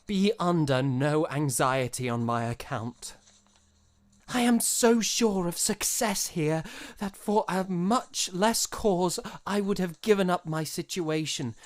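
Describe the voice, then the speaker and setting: An actor playing a proud, passionate young eighteenth-century gentleman in an audio drama, speaking formally and theatrically. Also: mid-range voice, slow, close to an everyday voice. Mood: earnest, resolute. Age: young adult. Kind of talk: period audio drama acting.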